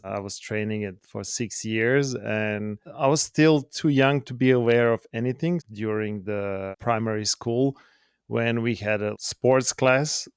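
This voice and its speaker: A man's voice: medium pitch, smooth, no room sound, studio quality. A man from Croatia with a Croatian accent, calling in remotely and casually telling his life story as an interview guest. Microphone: remote call.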